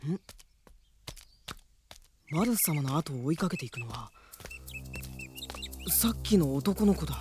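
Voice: mid-range voice, lively intonation, a little slow, pauses often, music in the background, close to an everyday voice. An actor playing an earnest, anxious young boy mage in a Japanese radio drama, with a youthful manner. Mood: puzzled.

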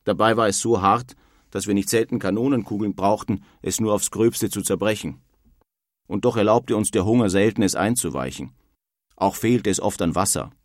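Actor as sardonic emigrant recounting hardship, dry sound. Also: male voice, on the low side, normal speed, no echo, close to an everyday voice. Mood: wry, sardonic. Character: weary, wryly bitter male emigrant letter-writer of past centuries. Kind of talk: dramatic reading of historical letters in radio feature.

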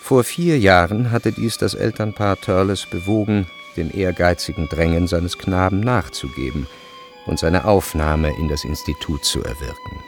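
A man narrating a literary radio drama in a measured, precise storytelling manner. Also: deep voice. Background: faint music.

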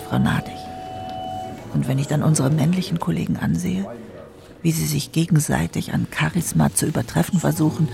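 A man voicing a wry parliamentarian in a literary audio drama monologue, with a creaky voice. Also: a little high. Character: wry female parliamentarian reflecting on her male colleagues.

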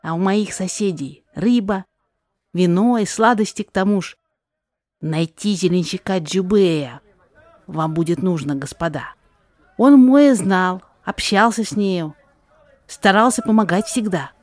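A woman's voice: mid-range voice, a little slow, darkish tone, no room sound, natural voice. A woman voicing a frank, gossipy male fruit seller who talks in plaintive exclamations in a rhymed audio drama. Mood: frank, helpful.

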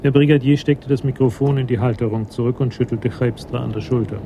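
Male storyteller for radio drama, scripted narration, medium-pitched, relaxed tone with a gravelly edge. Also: fairly quick, a little hiss or hum.

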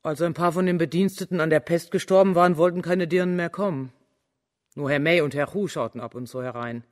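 Servant for radio play, male actor: raised pitch, venomous, emphatic, blunt, fiercely loyal. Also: brisk, natural voice. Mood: bitter, gossipy. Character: venomous, blindly loyal servant with a sharp tongue. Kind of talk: German radio crime drama acting.

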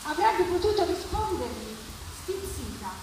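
Very echoey far-off recording, noisy background, high-voiced woman, dramatic literary reading. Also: sing-song, measured pace, darkish tone. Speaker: reader at a literary event. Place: live public reading at a festival, distant mic. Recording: echoey, distant, noisy live event recording.

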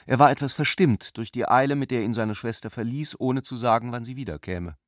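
An actor narrating a literary radio drama as a cool, intellectual brother, speaking in an articulate, measured way. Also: male voice, mid-range voice, no echo, natural voice. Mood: cool, detached.

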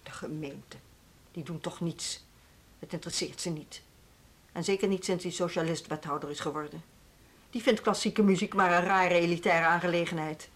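A woman with a Netherlandic Dutch accent playing a firm, put-upon music school head in a radio drama, brisk and argumentative. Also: fairly low pitch, fairly even intonation, close to an everyday voice. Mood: defensive. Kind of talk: naturalistic radio play dialogue.

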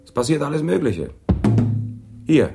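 An actor playing a brisk, eager police detective in a crime radio play. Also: male voice, on the high side, big pitch swings, gravelly, loud music behind the voice, full character voice. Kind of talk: radio drama acting.

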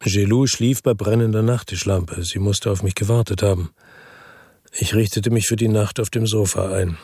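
A world-worn middle-aged man tells his story for a radio drama. His voice is low and husky, and his delivery is somber and reflective, like someone brooding over what he has seen. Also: steady tone, moderate pace, dark tone, dry recording, faint music behind. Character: weary, brooding middle-aged male first-person narrator, world-worn investigator.

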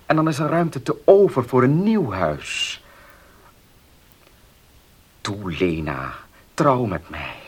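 An actor in a Dutch radio drama playing a stubborn, embittered farmer, with a gruff, earnest, slightly husky way of speaking. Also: male voice, medium pitch, lively intonation, slow pace, long pauses. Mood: earnest, pleading.

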